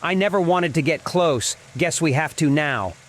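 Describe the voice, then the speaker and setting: A man's voice: fairly high pitch, normal speed, natural voice. A man playing an easygoing, stoic male gnome wagon driver in a dramatized fantasy audio story. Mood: resigned, steady.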